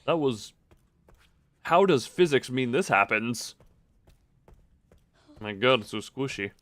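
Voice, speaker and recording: A man's voice: mid-range voice, animated, slow, a bit bright, no room sound. A man giving casual live video game commentary, thinking aloud in a rambling, self-interrupting way with playful, self-deprecating wordplay.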